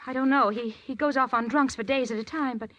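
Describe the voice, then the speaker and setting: Nervous, highish-voiced widow. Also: female voice, fairly even intonation, a bit bright, highs cut off. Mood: anxious. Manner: halting, flustered, stammering. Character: nervous, evasive widow pleading with a detective. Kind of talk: 1940s radio detective drama acting. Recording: old 1940s radio broadcast.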